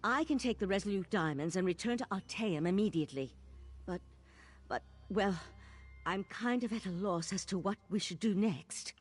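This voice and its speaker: A woman voicing an earnest, troubled mage of a magical order in a fantasy video game, serious and deliberate. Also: mid-range voice, expressive, husky. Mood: troubled, uncertain.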